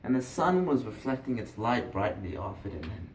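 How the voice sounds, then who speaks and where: The man telling a made-up folk tale to listeners at a storytelling night, swooping and pausing, heard from back in the room. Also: fairly low pitch, big pitch swings. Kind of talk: improvised folk-tale narration. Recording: roomy, echoey, distant mic, faint noise.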